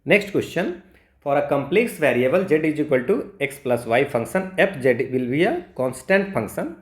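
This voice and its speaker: A man's voice: medium pitch, expressive, takes it slowly. A man with an Indian accent teaching in an informal, step-by-step way, mixing two languages and often checking that listeners follow. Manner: informal, step-by-step, checks understanding with frequent tags. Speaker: maths teacher for exam preparation. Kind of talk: explanatory lesson mixing Hindi and English. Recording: echoey room sound.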